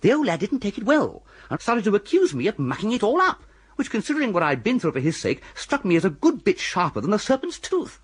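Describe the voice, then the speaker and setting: Posh dithery english toff, flippant radio comedy narrator, pitch all over the place, bit husky. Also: male voice, huge pitch swings, a little fast, exaggerated voice. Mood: breezy narrating. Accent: upper-class English. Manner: hesitant, bumbling, flippant. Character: breezy, dithering upper-class young English gentleman narrator. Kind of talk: full-cast radio comedy drama with narration.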